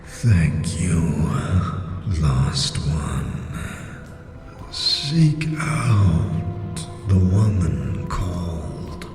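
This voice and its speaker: A man voicing an ancient talking stone face, grave and cryptic, speaking in halting, formal phrases. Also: low voice, animated, exaggerated voice. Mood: grateful, grave. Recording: cavernous echo, long reverb, music behind.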